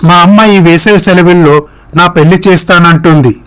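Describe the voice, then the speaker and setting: A man's voice: high-pitched, normal speed, a bit bright, smooth, rough recording. A man playing an earnest, persuasive young man in love in a story, pleading and reassuring.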